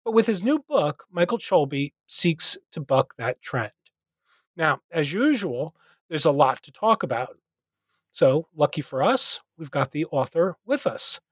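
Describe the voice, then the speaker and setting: A man's voice: a little high, a little slow, no echo. Man hosting a podcast interview. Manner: scripted intro, then relaxed chat. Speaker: podcast host. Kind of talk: interview host.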